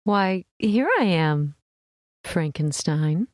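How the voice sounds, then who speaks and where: An actress playing a teasing, complicit wife in an old time radio horror drama, coy and melodramatic. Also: female voice, low-pitched, drawn-out words, no room sound, studio quality.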